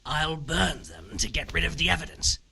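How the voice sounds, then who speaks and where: An actor playing a scheming, vengeful criminal who hands out shady jobs in a medieval video game, sly and scornful. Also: male voice, fairly high pitch, big pitch swings, normal speed, vocal fry, bright, forceful tone, heavily acted.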